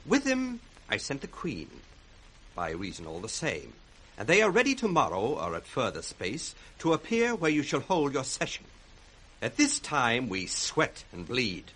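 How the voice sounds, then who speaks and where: A man's voice: mid-range voice, huge pitch swings, unhurried, fairly bright tone, breathy edge, heavily acted, rough recording. An actor playing a cold, ambitious, scheming young nobleman, theatrical and commanding, in 1960s radio Shakespeare. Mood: smooth, guarded. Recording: faint noise on old radio recording.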